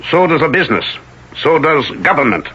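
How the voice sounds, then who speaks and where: An actor playing a coldly controlling, quietly menacing village elder in measured, didactic 1970s radio drama style. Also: male voice, fairly high pitch, very animated, breathy edge, full character voice. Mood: cold, instructive. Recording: slightly muffled vintage radio recording.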